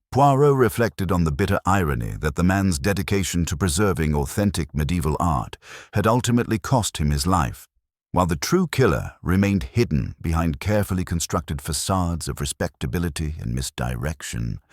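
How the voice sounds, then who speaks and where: A man narrating a scripted mystery story in a formal, measured literary manner. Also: rumbling low, dry recording, clean studio sound. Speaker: audiobook narrator.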